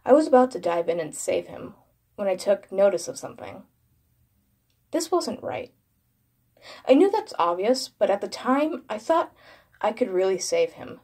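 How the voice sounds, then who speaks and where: A woman's voice: fairly low pitch, darkish tone, breathy edge. A woman reading a first-person horror story as a dramatic scripted narration.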